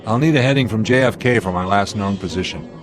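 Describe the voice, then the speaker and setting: An actor playing a steady airline captain in a radio drama, speaking with measured authority and a wry edge. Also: male voice, lowish voice, music in the background, lightly acted. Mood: grave, decisive. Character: steady, commanding male airline captain under pressure.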